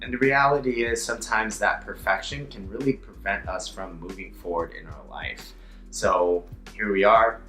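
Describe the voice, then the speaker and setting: A young man with a hoarse, slightly raspy voice, an upbeat yoga teacher chatting playfully in a casual vlog. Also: fairly low pitch, normal speed, pushed and bright, reverberant, faint music behind. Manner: upbeat, playful, self-mocking.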